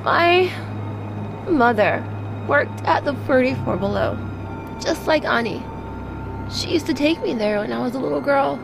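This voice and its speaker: A woman's voice: high-pitched, expressive, measured pace, long pauses, music in the background, heavily acted. A woman playing a tough, guarded young cat burglar with a defiant, dramatic delivery in an audio drama. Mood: bitter, wounded. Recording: clear noise and ambience behind voice.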